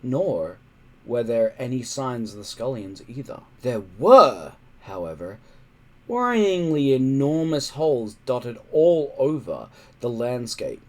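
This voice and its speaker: A male storyteller reads a children's adventure with a very lively, swooping delivery. He takes his time, pausing and stressing words to build suspense. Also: medium pitch. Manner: suspenseful, emphatic, with pauses. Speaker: storybook reader. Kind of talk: dramatic read-aloud narration of a children's adventure.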